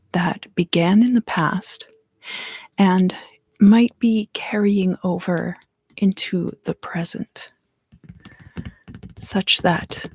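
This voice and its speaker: A woman giving an ASMR tarot reading in a soft, unhurried whisper. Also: on the low side, vocal fry, husky, dry recording. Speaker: tarot reader and ASMR host.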